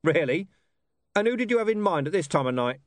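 Man acting a needling police commander, animated. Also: on the high side, fairly quick, no room sound, clean studio sound, pitched above the performer's own voice, close to an everyday voice. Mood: sardonic. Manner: sardonic, pressing, growling at times. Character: gruff, wry police commander, male, needling and stubborn. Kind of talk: audiobook character dialogue.

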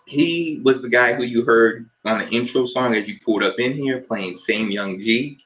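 A young man from New Jersey co-hosting a casual podcast, relaxed and easygoing as he gives shout-outs and asks questions. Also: mid-range voice, moderate pace, low-quality recording. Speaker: podcast co-host.

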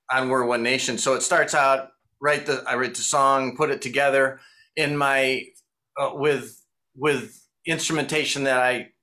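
Middle-aged Michigan man, bright forceful tone, rambling guest who chuckles between thoughts. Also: mid-range voice. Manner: rambling, chuckling, loose storyteller. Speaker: guest musician. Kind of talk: casual interview chat.

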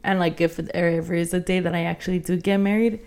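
A woman's voice: fairly low pitch, steady tone, quick pace, a little mellow, smooth. A woman co-hosting a podcast in casual personal chat, thinking aloud in a hesitant, conversational way. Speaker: podcast co-host.

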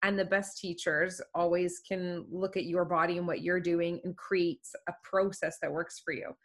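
A woman's voice: fairly low pitch, steady tone, moderate pace. A woman hosting a podcast, explaining things and giving advice in a casual chat. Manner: advising, explanatory. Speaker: podcast host.

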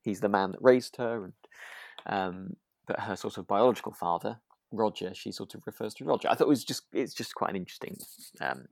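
Deep, creaky-voiced British man. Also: lively intonation, fairly quick, darkish tone, dry recording, clean studio sound. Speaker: podcast co-host. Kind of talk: relaxed casual chat.

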